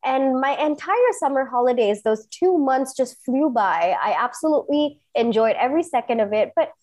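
Woman from Singapore, thirties, interview guest, earnest and enthusiastic, somewhat high voice, emphatic storytelling. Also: animated, moderate pace, darkish tone. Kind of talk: personal storytelling in conversation.

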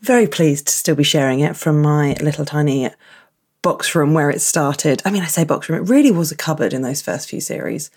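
Female podcast host, husky morning croak, low pitch, relaxed chat from a tiny home room. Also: brisk. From England. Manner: chatty, warm thanks and asides. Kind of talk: casual podcast intro. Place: small box room at home.

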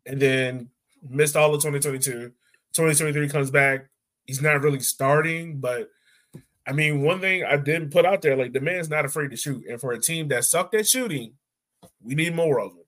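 A man's voice: fairly high pitch, moderate pace, fairly bright tone. Man hosting a casual sports podcast. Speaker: podcast host.

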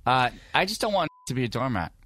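A man's voice: medium pitch, very animated, quick pace, fairly bright tone, no room sound. A man co-hosting a radio show, blunt and matter-of-fact in casual banter.

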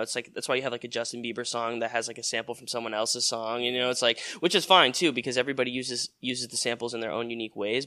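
A man's voice: medium pitch, sharp tone, no room sound, clean studio sound. A man in his twenties, a musician being interviewed, talking in a rambling casual way with many fillers and restarts. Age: 20s. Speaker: musician guest.

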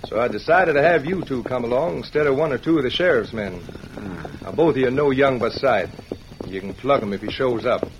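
An actor playing a crooked, scheming rancher in an old-time radio western. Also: male voice, medium pitch, a little fast, close to an everyday voice. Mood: smug, scheming. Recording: dull, noisy vintage radio recording.

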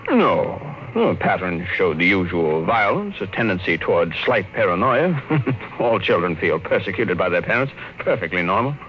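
An American actor playing a blunt, world-weary middle-aged psychologist in a classic radio drama, authoritative and wry. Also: male voice, low voice, very animated, moderate pace, fairly bright tone, slight background noise. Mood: breezy, reassuring. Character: blunt, world-weary male child psychologist and family friend. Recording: old radio broadcast, echoey hall, music behind.

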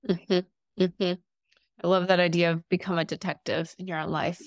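A woman with a raspy voice that sits in her throat, chatting casually as an interviewer on a podcast. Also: fairly low pitch, little pitch movement, normal speed, no room sound, clean studio sound. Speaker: podcast host.

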